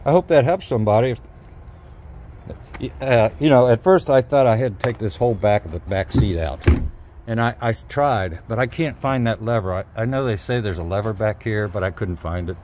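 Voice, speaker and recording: A man's voice: fairly low pitch, normal speed. A middle-aged man giving a casual, hands-on how-to in a folksy, hesitant, unscripted way. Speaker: DIY video maker. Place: outdoors at an open truck cab. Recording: clear outdoor ambient noise.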